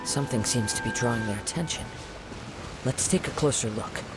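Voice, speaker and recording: An actor playing a calm, thoughtful young wandering swordsman in a video game, speaking in a measured, formal, reflective way. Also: mid-range voice, over loud music, close to an everyday voice, rough recording. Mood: pensive. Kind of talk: scripted video game dialogue.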